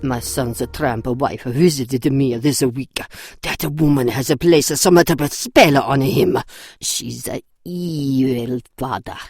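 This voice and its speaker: An actress in a radio drama playing a judgmental, pious older mother with a creaky voice. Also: very deep voice, slow pace, darkish tone, no echo, clean studio sound, heavily acted. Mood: pious spite. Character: bitter, pious, judgmental mother of grown son.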